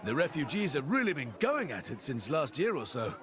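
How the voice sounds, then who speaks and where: An actor voicing a chirpy, condescending young highborn envoy with a British accent, in a theatrical game cutscene style. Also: male voice, sing-song, brisk, a bit bright, slight background noise. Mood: haughty, exasperated. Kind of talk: video game cutscene acting.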